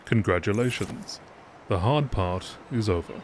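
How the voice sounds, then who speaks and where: A man with a fairly low voice reads scripted text aloud. He narrates it in the style of a nature documentary. A faint ambient rumble sits behind his voice. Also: a little slow. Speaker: video narrator reading game text aloud. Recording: steady background noise.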